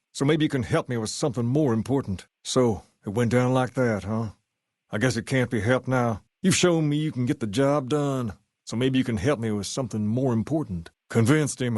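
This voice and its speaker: A man's voice: medium pitch, darkish tone, no room sound, studio quality, close to an everyday voice. An actor playing a suave, cocky male gang leader in a video game, talking with cool, slangy swagger. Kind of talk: scripted game character dialogue.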